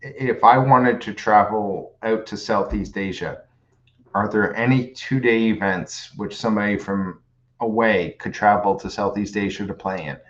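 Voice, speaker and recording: A man's voice: lowish voice, steady tone, moderate pace, dull audio. A man from Canada hosting a casual podcast interview, chatty and jokey with stumbling restarts. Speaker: podcast host.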